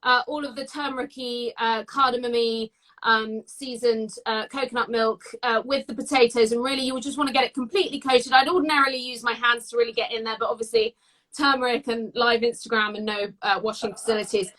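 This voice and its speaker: A British woman giving a casual live cooking demonstration, chatty and descriptive, often pausing mid-phrase as she explains. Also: fairly high pitch, steady tone, a bit bright, poor audio. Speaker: guest cook demonstrating a recipe.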